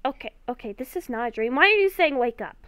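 A woman playing a nervous young female werewolf in an improvised Minecraft roleplay, halting and stammering as she talks. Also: high-pitched, expressive, a bit bright, no echo. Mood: exasperated, alarmed. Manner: halting, stammering, repeats herself. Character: nervous young woman werewolf, hearing ghostly voices at night.

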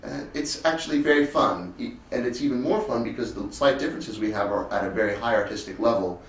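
A man's voice: medium pitch, fast. A man in his twenties, a musician explaining things earnestly and conversationally in an interview, often restarting phrases. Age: 20s. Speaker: concert cellist, interviewee. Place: elegant indoor sitting room. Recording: roomy, distant mic, faint noise.

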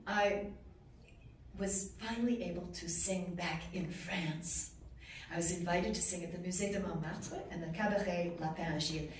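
A woman's voice: on the low side, normal speed. A woman giving an award acceptance speech at a podium in an ornate reception room, recorded from far off. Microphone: podium mic, recorded from far off. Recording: echoey room, far from camera, noisy.

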